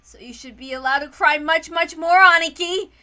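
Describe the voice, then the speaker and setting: Woman acting out an impassioned, high-voiced teenage boy. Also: normal speed, bright, forceful tone, faint music behind. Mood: impassioned grief. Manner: impassioned, emphatic pleading. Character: anguished, hot-blooded teenage boy consoling his older cousin. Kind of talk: dramatic visual novel read-aloud.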